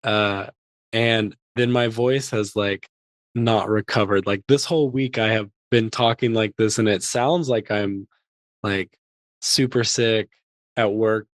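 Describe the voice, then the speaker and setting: A man co-hosting a podcast in casual chat, his voice hoarse and gravelly and cracking after an illness, rambling with many fillers. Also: on the low side, steady tone, slow, no room sound. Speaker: podcast co-host.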